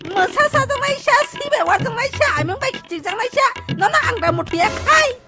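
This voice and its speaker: Squeaky, extremely high-pitched excitable cartoon character. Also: female voice, moderate pace. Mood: animated bickering. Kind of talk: cartoon dub acting. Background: faint music.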